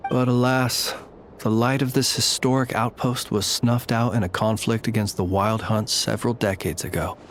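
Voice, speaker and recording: An actor playing a solemn, courteous graveyard lightkeeper in a video game, speaking in a formal, measured, old-fashioned way. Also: male voice, lowish voice, a little hiss or hum, lightly acted. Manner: formal, measured, archaic diction.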